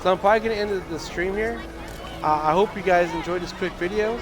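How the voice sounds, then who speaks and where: A man's voice: sits high, quick pace. A man with a scratchy voice he says is going, chatting casually and rambling on a livestream. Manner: rambling, with many restarts. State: hoarse, losing his voice. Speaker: streamer. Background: video game music.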